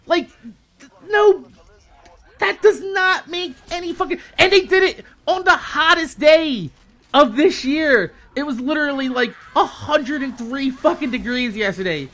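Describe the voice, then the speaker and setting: A man's voice: extremely high pitch, unhurried. A young American man telling a casual storytime rant as a YouTube host, swearing heavily and repeating himself in exasperation. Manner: profane, exasperated, animated venting with emphatic repetition.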